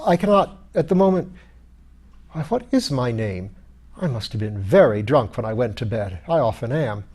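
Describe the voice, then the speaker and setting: A man voicing a pompous, irritable, hard-drinking skeleton lord in an audiobook, rough and blustering in old-fashioned aristocratic speech. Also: medium pitch, sing-song, moderate pace, darkish tone. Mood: blustering, confused. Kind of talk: audiobook character voice.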